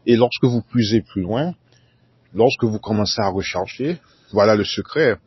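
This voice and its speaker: A man's voice: lowish voice, normal speed, slightly rough, no echo, lo-fi sound. A man delivering a formal solo monologue, repeating whole sentences and spelling out words letter by letter. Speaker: conspiracy talk narrator.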